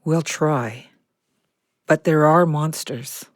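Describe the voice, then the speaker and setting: Lady playing an old snake in an audio play, low n slow, calm and watchful, very dry close sound. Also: no echo. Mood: watchful, ominous. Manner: slow, deliberate, sparse phrasing. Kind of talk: audio drama acting.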